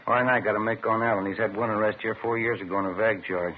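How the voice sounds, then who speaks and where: On a thin old radio recording with faint noise, a man plays a plain-spoken detective's partner. His voice is low and his delivery laconic and conversational, in the manner of a 1950s police drama. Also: fairly even intonation, fast, phone-quality sound, natural voice. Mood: businesslike. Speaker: supporting actor.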